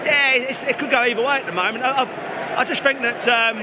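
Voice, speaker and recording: A man, a football fan chatting casually in a halting, sweary way. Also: very high-pitched. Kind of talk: casual chat and shouted encouragement. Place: outdoor football stadium stands. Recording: very loud crowd, noisy outdoor stadium.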